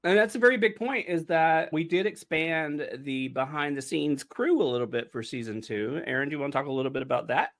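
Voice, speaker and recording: A man with a somewhat high voice hosts a relaxed podcast about making a show. He is talkative and jokey, speaking in a loose, conversational way. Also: brisk, hardly any pauses. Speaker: podcast host and showrunner. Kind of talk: casual behind-the-scenes podcast chat.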